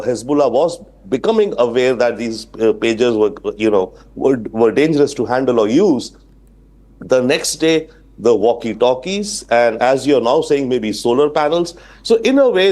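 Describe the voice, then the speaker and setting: A man's voice: medium pitch, darkish tone. A man with an Indian accent giving explanatory live news analysis as an expert guest. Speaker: international affairs expert guest.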